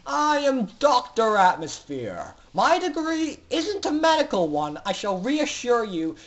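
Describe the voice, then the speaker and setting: A man's voice: high-pitched, pitched above the performer's own voice. A man voicing a sadistic pegasus mad scientist in a dramatic story reading, commanding and theatrical with mocking politeness. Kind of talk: dramatic fan fiction reading.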